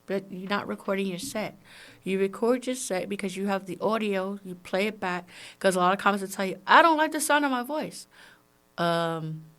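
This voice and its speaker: Female podcast host, Brooklyn New York accent, medium pitch, conversational and emphatic solo delivery. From Brooklyn, New York, United States. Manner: emphatic, conversational, punctuated with rhetorical questions. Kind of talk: casual solo podcast talk.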